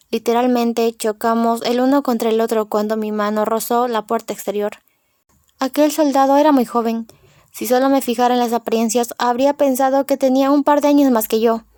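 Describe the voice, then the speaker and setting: A woman narrates a first-person novel as an audiobook. Her voice sits somewhat high. The recording is dry and close, with little room sound. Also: darkish tone, dry recording.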